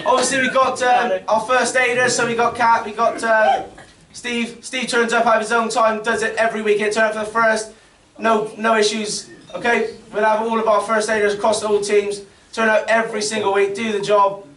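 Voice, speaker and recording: English man, very high voice, joking club speech, echoey hall, heard from afar. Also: monotone, normal speed, sharp tone. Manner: joking, teasing the crowd. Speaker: club captain giving awards speech. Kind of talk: informal banter-filled speech. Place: large function room at a dinner. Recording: echoey room, far mic, background noise.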